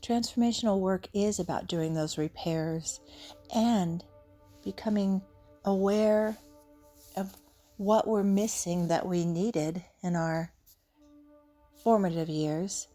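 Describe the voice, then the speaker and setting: A woman leading an unscripted guided meditation in a soothing, gentle, unhurried way with long pauses. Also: mid-range voice, steady tone. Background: soft background music.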